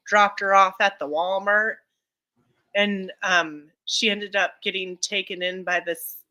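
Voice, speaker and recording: A woman chatting casually on a livestream, talkative and quick to laugh. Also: medium pitch, steady tone, sharp tone, dull audio. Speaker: livestream co-host. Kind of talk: casual chat.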